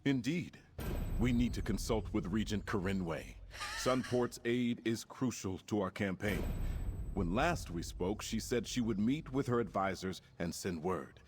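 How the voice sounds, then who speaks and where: An actor playing a resolute, formal male commander in a fantasy video game, speaking in a measured, stately way over music. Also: fairly low pitch, expressive, noisy background. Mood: grave, determined. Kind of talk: scripted game dialogue.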